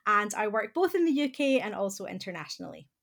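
A woman with a Scottish accent, a guest academic answering podcast interview questions in a measured, explanatory way. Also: medium pitch, very animated, creaky, a bit bright. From Scotland. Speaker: guest classicist.

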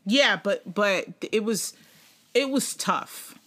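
A young woman co-hosting a podcast in casual chat, animated, laughing often and swearing freely. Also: mid-range voice, slow pace. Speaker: podcast co-host.

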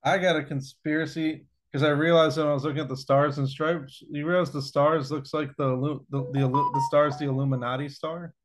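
A man's voice: fairly high pitch, fairly even intonation, a little fast, few pauses, heavy background noise. A young man co-hosting a casual podcast, joking and stumbling over repeated words. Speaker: podcast co-host. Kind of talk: casual crude banter.

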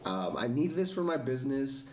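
A man's voice: mid-range voice, sing-song, normal speed, a little mellow, far from the mic. A man hosting an interview, asking questions in a casual, encouraging way with frequent affirmations. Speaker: podcast host. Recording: echoey room, off-mic.

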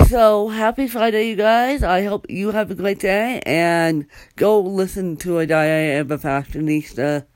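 A young adult podcast host giving a casual solo update, rambling and halting with many fillers and restarts. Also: medium pitch, a little mellow, no echo.

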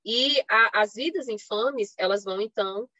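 A woman's voice: medium pitch, normal speed, pushed and bright, no echo. A woman with a Brazilian Portuguese accent giving an explanatory academic talk online, often posing rhetorical questions and checking in with short tags. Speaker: academic panelist giving a presentation. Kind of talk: academic online lecture with slides, semi-improvised. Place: online live stream. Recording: slightly dull lo-fi online call audio.

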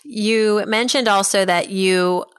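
A woman's voice: mid-range voice, slow pace, clear, resonant tone, no room sound. A woman talking as a podcast host in a conversational interview style.

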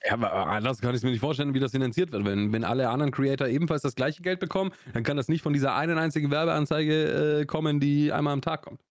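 Fast-talking Swabian man, casual. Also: fairly high pitch, no echo, studio quality. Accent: Swabian German. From Augsburg, Swabia, Germany. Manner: chatty, rambling, lots of fillers and restarts. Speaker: podcast co-host. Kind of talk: casual chat.

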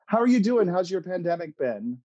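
A middle-aged American man chatting casually as an interview guest, telling anecdotes in a halting, expressive, self-amused way. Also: high voice, no echo, muffled recording. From Oregon, United States. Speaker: interview guest, playwright and screenwriter.

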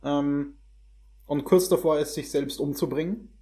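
A man's voice: fairly high pitch, fairly even intonation, a little slow, mellow. A young adult man talking casually about films in as a host, searching for his words and mixing in English slang. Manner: hesitant phrasing mixed with English slang words. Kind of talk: casual conversational film review.

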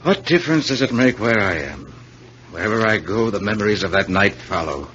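A man's voice: on the low side, expressive, a little fast, a bit bright. An actor playing a haunted, suspicious rich man, brooding and accusing, in 1940s radio mystery style. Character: haunted, suspicious wealthy man turning insistent. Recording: dull, hissy old radio recording.

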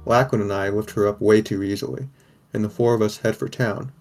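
Man as a low-voiced, wry teenage boy narrator. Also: fairly even intonation, a little fast, darkish tone, soft background music, lightly acted. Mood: wry, reflective. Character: sixteen-year-old boy, wry, burdened, caring narrator. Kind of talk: first-person scripted narration, audio fiction.

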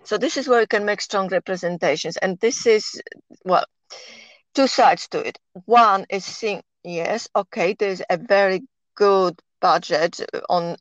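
A woman's voice: lowish voice, no room sound, dull audio. A woman in her sixties from Poland with a Polish accent, speaking in a casual podcast interview in an earnest, persuasive way and often repeating herself while she gathers her thoughts. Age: 60s.

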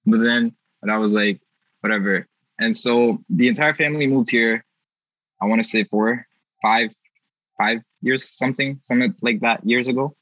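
A man's voice: mid-range voice, normal speed, fairly bright tone, no room sound, highs cut off. A young man from India telling his family story in a laid-back, slangy way during a casual chat. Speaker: youth artist guest.

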